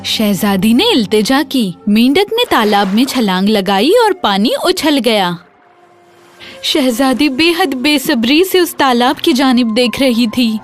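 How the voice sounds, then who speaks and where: High, animated woman storyteller over soft music. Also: slow. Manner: expressive, dramatic storytelling. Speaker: storyteller, narrator. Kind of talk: scripted children's fairy-tale narration.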